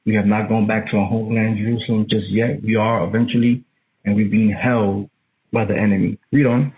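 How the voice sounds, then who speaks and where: A man's voice: on the low side, level tone, a little fast. Preacherly man on a tinny phone line. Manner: emphatic, preacherly. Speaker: Bible teacher. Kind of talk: teaching a Bible study.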